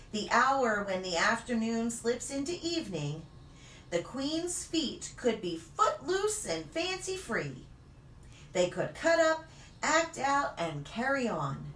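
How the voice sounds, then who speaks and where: Female storybook reader for preschoolers, very lively intonation, deliberate pauses; roomy, distant recording. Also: on the high side. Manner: deliberate phrasing with dramatic pauses. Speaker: pastor reading children's stories. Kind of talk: expressive storybook read-aloud for preschoolers. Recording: roomy, distant mic with faint noise.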